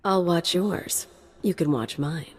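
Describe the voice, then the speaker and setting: An actress playing a flirtatious, dangerous security chief in a video game, teasing and mocking. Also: female voice, on the low side, slow, breathy edge. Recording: reverberant game mix.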